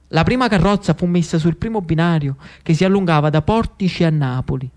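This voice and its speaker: A male storyteller with a strong Neapolitan accent and a high-pitched voice. He performs a theatrical narrative monologue, lyrical and dramatic, breaking it into short repeated phrases with pauses. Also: darkish tone. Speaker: actor-storyteller.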